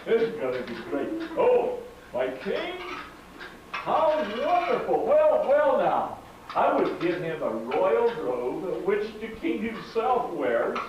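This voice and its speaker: Vain, stammering puppet villain, high-pitched and swooping. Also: male voice, huge pitch swings, slow, heavily acted. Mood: gleeful, scheming. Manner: stammering, self-muttering, exclamatory. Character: vain, scheming male royal adviser puppet, blustering and flustered. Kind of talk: amateur comic puppet show acting. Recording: echoey hall from far off, dull, noisy.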